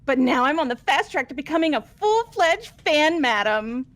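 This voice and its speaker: A high-pitched, wildly swooping young murderess from a video game. She is manic and flamboyant, boasting gleefully and taunting with theatrical flair. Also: very animated, normal speed. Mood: giddy, excited. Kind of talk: amateur game voice acting.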